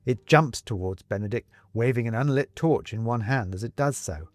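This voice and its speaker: A British man reading a scripted story as narrator, measured, wry and emphatic in his delivery. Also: medium pitch, no room sound. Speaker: audiobook narrator.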